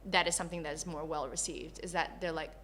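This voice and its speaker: Bright-voiced woman, chatty and laughing. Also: low voice, fast talker, slight background noise. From Malaysia, raised in Canada. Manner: laughs often, animated storytelling. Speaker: guest. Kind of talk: casual interview chat.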